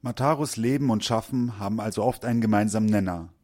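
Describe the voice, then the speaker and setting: A man reading a scripted narration for a culture report in a measured, even way. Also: fairly low pitch.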